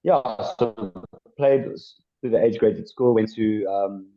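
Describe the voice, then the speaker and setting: Low-voiced hesitant young man. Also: a little slow. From South Africa. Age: 20s. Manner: hesitant, many ums and restarts. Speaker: podcast guest, former rugby player. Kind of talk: casual interview chat. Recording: dull lo-fi sound.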